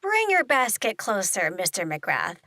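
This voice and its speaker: An actress playing an eccentric, forward spinster on the hunt for a husband in a comic audio play, genteel and coy with menacing undertones. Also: female voice, mid-range voice, wildly swooping pitch, a little slow, sharp tone, faint music behind, heavily acted. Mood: insistent, genteel.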